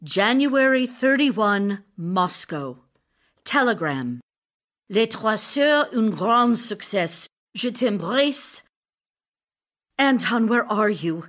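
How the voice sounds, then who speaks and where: A woman's voice: medium pitch, lively intonation, a bit bright. A woman playing an ardent, theatrical stage actress in love, speaking emotionally in an audio drama. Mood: excited, yearning. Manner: emotional, theatrical, deliberate phrasing. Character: Olga Knipper, ardent Russian stage actress and lover. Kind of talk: audio drama acting, letters read as speech.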